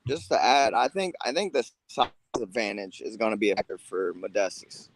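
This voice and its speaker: A man giving his fight picks in quick, confident bursts on a casual panel. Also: on the low side, animated, rough recording. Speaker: guest panelist.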